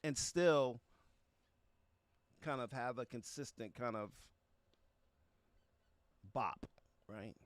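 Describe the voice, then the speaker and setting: A man hosting a music reaction stream, chatting casually in a halting, conversational way and often tagging phrases with a check for agreement. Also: mid-range voice, no room sound. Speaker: music reaction show host. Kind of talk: casual livestream chat with viewers about music.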